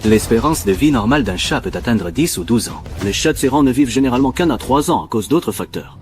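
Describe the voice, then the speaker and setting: A man voicing a cold, ruthless cat gang boss in a dubbed animated series, commanding and menacing. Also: mid-range voice, fast, faint music behind, close to an everyday voice. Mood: measured, appraising.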